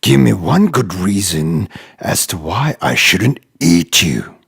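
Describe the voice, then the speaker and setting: Gravelly, haughty lion king. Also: deep voice, big pitch swings, slow, a bit bright, exaggerated voice. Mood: scornful. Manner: haughty, dismissive. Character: haughty grown male lion, gruff king of jungle. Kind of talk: children's storybook acting.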